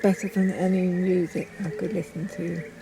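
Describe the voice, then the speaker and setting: A woman speaking reflectively and unscripted in a documentary, thoughtful and halting, with frequent pauses. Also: lowish voice, little pitch movement, creaky, heavy background noise. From northern England. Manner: halting, pausing often, thoughtful. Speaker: poet.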